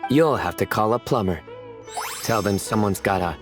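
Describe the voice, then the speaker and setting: An actor playing a composed man with a deadpan love of puns in a video game, speaking in a measured way. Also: fairly low pitch, music in the background, close to an everyday voice. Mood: deadpan teasing. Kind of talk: video game character voice acting.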